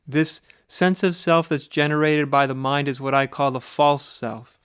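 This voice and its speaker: American guy doing guided meditation, really slow and deliberate, close dry sound, lots of pauses. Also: fairly high pitch, steady tone, fairly bright tone, clean tone, no room sound. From United States. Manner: measured, deliberate, with pauses between short phrases. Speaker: spiritual teacher leading guided meditation.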